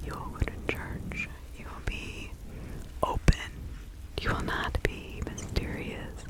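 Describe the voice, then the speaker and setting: Slow whispering woman, ASMR witch roleplay. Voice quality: breathy unvoiced whisper. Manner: slow, deliberate, instructing with soft repetition. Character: kindly, protective 17th-century witch woman giving counsel.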